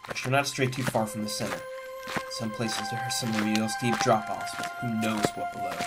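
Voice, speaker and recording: A man playing a confident, steady expedition guide in a sci-fi audio drama, calmly instructive. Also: on the low side, fairly quick, loud music behind the voice, lightly acted, poor audio. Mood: steady, directing. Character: confident, steady male crew guide and adventurer.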